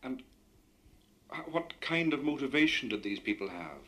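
A man leading a discussion, comparing experiences and asking measured, articulate questions. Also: medium pitch, very animated, a bit bright. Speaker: interviewer. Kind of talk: radio discussion.

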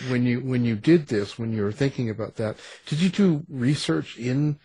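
Guy hosting a casual interview, kinda jokey and rambly, raspy voice with lots of fry. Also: mid-range voice, moderate pace, warm tone, no echo. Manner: joking, rambling. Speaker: show host interviewing an author.

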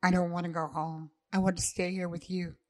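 Woman doing a possessive young man, firm, commanding, lowered voice. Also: flat delivery, fairly quick, close to an everyday voice. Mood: low, firm.

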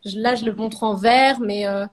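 A woman's voice: mid-range voice, steady tone, moderate pace. A French woman in her thirties, a ceramic artist, talking casually in a podcast interview, hesitant with many fillers and restarts. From France.

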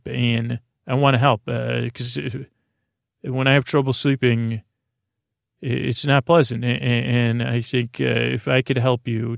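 A man with a creaky voice hosting a sleep podcast, giving a halting, meandering, absurd monologue that keeps trailing off and restarting. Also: medium pitch, no room sound, studio quality. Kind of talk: rambling, absurd bedtime monologue.

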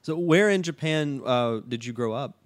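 A man hosts a laid-back podcast conversation. His voice is smooth and resonant at a middle pitch, and he talks in an easygoing way, restarting phrases as he goes. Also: normal speed. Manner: relaxed, chatty, with frequent restarts. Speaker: podcast host. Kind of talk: casual podcast interview.

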